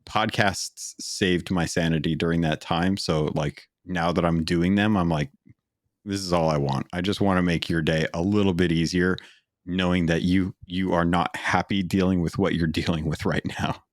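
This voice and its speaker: A man's voice: sits low, steady tone, normal speed, no echo, studio quality. A man co-hosting a gaming podcast, chatty and eager, with lots of fillers as he recommends games. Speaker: podcast co-host. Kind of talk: casual podcast chat.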